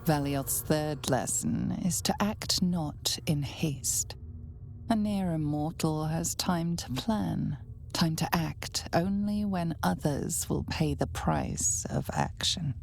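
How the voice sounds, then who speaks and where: An actress playing a solemn, ominous storyteller, narrating a fantasy video game in a measured, deliberate way. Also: female voice, low-pitched, creaky, a little mellow, slight background noise, full character voice. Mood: grave, ominous. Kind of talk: dramatic scripted game narration.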